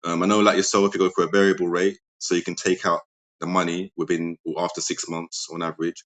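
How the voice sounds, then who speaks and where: Low-voiced man explaining property deals. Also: quick pace. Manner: steady, methodical, many ums. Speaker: guest, property investor. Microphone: remote audio-chat connection.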